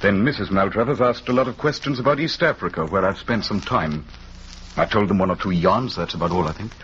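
An actor playing a brusque, protective young army captain in 1940s radio drama style. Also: male voice, fairly low pitch, brisk, fairly bright tone, lightly acted. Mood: guarded, polite. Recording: muffled, noisy vintage 1940s radio recording.

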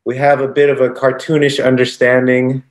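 A man's voice: medium pitch, little pitch movement, moderate pace. An American man hosting a podcast interview, asking a long, rambling question with many ums. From United States. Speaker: podcast host.

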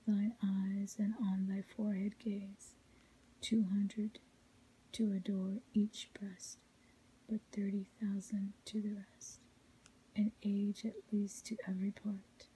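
A woman softly reading poetry in a breathy whisper, ASMR style, with a measured, melodic recitation. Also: mid-range voice, slight background noise, rough recording. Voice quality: soft unvoiced whisper, breathy.

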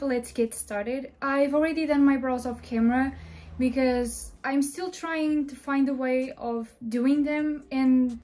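A woman's voice: high voice, darkish tone. A young woman in her twenties from Portugal, speaking English with a Portuguese accent as she chats through a makeup tutorial in her bedroom. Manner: friendly, chatty, explaining step by step. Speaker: beauty vlogger. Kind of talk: makeup tutorial talk to camera. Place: small bedroom indoors.

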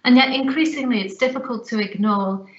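A woman giving a recorded lecture, speaking in a measured, reflective way over a webcam. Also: on the high side. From Liverpool, England. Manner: measured, reflective, deliberate phrasing. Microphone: webcam on a video call. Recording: roomy, slightly dull webcam sound.

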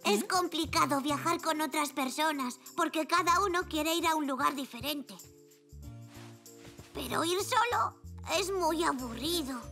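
An actor voicing a curious, cheerful five-year-old boy zebra in a preschool cartoon, earnest and expressive, with a European Spanish accent. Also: high-pitched, measured pace, faint music behind, heavily acted. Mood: downcast. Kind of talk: dubbed preschool cartoon acting.

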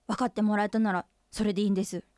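A woman's voice: mid-range voice, level tone, racing, a little mellow, dry recording, natural voice. An actress playing an earnest, forthright female office employee pressing her boss in a comic radio drama. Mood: accusing, insistent.

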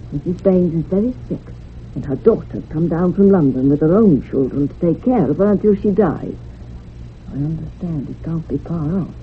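A low-voiced village woman in an old radio drama, with a very lively, swooping delivery. She is evasive and matter-of-fact, brushing things off, on a tinny, noisy recording.

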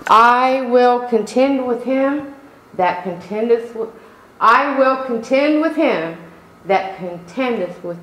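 Slow, emphatic woman preaching in an echoey church. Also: medium pitch. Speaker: pastor. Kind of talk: sermon. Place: church sanctuary. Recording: echoey room.

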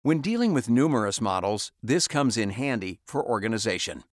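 A man reading a scripted step-by-step software tutorial as a voice-over, calm and instructional. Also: mid-range voice, fairly quick, dry recording, studio quality.

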